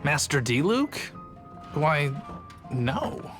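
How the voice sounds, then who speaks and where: A man's voice: fairly high pitch, very animated, very slow, over music, exaggerated voice. A man voicing an unruffled tavern patron in a video game. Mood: feigned innocence. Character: male tavern patron covering for the bartender, unruffled.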